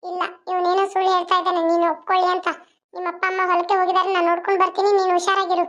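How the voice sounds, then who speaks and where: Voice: extremely high pitch, completely flat delivery, very fast, fairly bright tone. A performer voicing a brave, protective young village man who reassures and defies. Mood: reassuring. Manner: reassuring, defiant. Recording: dull lo-fi sound.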